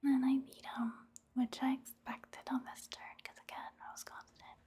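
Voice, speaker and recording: A woman softly whispering casual ASMR gameplay commentary in a breathy, hushed, unhurried way. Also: sits high, level tone.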